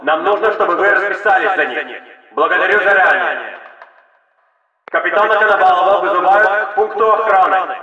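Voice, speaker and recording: An actor playing an official Russian military base announcer, making tannoy announcements over a loudspeaker in a video game. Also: male voice, high voice, normal speed, far from the mic. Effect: loudspeaker announcement filter. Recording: echoing, muffled loudspeaker with long reverb.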